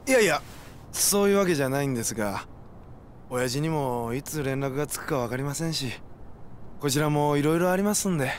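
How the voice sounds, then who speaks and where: A man's voice: on the high side, lively intonation, brisk, slight background noise, close to an everyday voice. An actor playing a guarded young male junior gangster, polite, hesitant and placating, in a video game cutscene.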